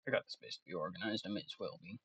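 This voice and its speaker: A man's voice: deep voice, very fast, fairly bright tone, dull audio, slight background noise. A man giving casual commentary while playing a game, thinking aloud as he goes. His voice is starting to tire. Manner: thinks aloud, meandering and self-talking. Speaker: let's play gamer.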